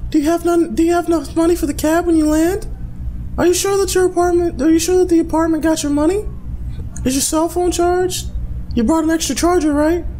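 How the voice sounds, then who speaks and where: A man voicing an anxious, nagging middle-aged mother in falsetto while reading a visual novel game aloud. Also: steady tone, warm tone, slight background noise. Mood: fussing, worried. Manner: nagging, rapid worried questions.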